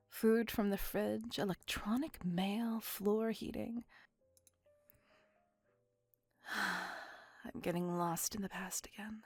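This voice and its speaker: A woman playing an ancient, possessive and tender female vampire in an intimate ASMR roleplay, breathy and whispery, with languid, formal, old-fashioned speech. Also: on the low side, darkish tone, dry recording, heavily acted. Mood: wistful, reluctant. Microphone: close microphone.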